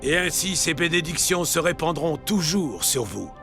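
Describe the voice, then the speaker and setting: An actor playing a solemn, devout old patriarch giving commands in a biblical cartoon dub. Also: male voice, on the high side, animated, moderate pace, creaky, over music. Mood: solemn blessing. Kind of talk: dubbed biblical cartoon acting.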